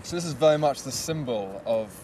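A man's voice: medium pitch, animated, a little fast. A man presenting and interviewing on location as a documentary reporter. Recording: clear outdoor city and traffic noise.

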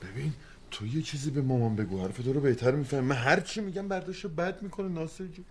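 An actor playing a young psychologist, often exasperated and pleading with people. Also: male voice, fairly high pitch, a little mellow, slight background noise, close to an everyday voice. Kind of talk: sitcom acting.

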